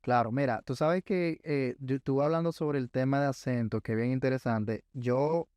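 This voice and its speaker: A man hosts a podcast with a smooth, clear voice recorded dry and close. He talks in a reflective, agreeable way and builds on what his guest has said. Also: medium pitch, moderate pace, a little mellow, no room sound. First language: Spanish. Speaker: podcast host. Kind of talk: casual interview chat.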